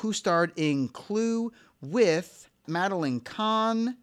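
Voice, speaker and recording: A man's voice: sits high, animated, smooth. Playful man hosting a movie chat. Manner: quick, playful, leads the game. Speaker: podcast host.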